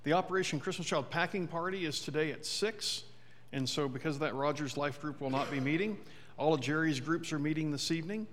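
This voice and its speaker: A man's voice: on the high side, a little fast, a bit bright, a little hiss or hum. A man reading church announcements to a congregation in a casual way, with fillers and asides to the room. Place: church sanctuary. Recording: reverberant church hall.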